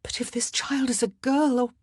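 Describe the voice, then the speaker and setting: A woman's voice: a little high, expressive, moderate pace, darkish tone, breathy edge, no room sound, clean studio sound, voice pushed up. A woman voicing a devoted, spirited Scottish lady-in-waiting in an audiobook, emphatic and lilting. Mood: reassuring. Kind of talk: audiobook character dialogue.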